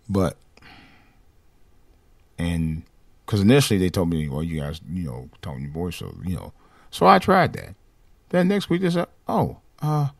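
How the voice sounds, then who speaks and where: A man speaks on a casual live talk stream with a light voice that he says lacks bass. He rambles and restarts his sentences, and his pitch rises and falls widely. The recording is dry, with no room sound. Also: creaky, no echo. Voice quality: light voice without much bass. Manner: rambling, repeats and restarts phrases. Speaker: podcast host. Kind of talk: casual personal monologue.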